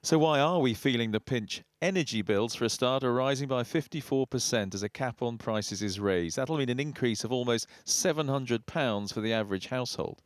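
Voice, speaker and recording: A man's voice: mid-range voice, brisk, few pauses. British male news reporter. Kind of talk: scripted news narration.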